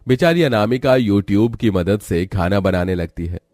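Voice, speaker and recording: Male narrator, fairly low voice, scripted storytelling, dry studio-like sound. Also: lively intonation, measured pace, a little mellow, dry recording, soft background music.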